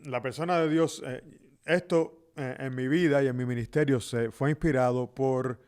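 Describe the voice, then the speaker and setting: A man's voice: medium pitch, fairly even intonation, moderate pace, a little mellow, smooth. A middle-aged man with a Cuban accent, a pastor answering conversationally as an interview guest in emphatic, short phrases. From Cuba.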